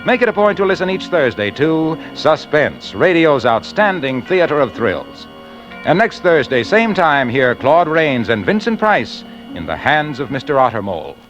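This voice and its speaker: A man's voice: a little high, very animated, moderate pace, a bit bright, lo-fi sound. An American man working as a 1940s radio announcer, formally and emphatically reading credits on a vintage recording. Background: faint music.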